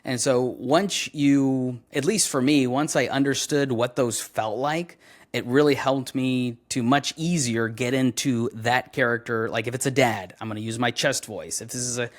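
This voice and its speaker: A young adult man, a voice actor, chatting casually in an interview, relaxed and full of fillers as he tells stories. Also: medium pitch, moderate pace. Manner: relaxed, storytelling, many fillers. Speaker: voice actor guest.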